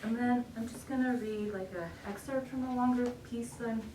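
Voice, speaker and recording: A woman's voice: on the high side, steady tone, normal speed. A woman poet talking casually to introduce her reading, informal, chatty and self-deprecating. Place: bookshop reading event. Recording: echoey room, distant mic, noisy.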